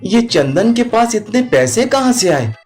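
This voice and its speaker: A man's voice: high voice, very animated, a little slow, darkish tone, faint music behind. A man playing a lazy, jealous, scheming village neighbour in a storybook tale. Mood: envious.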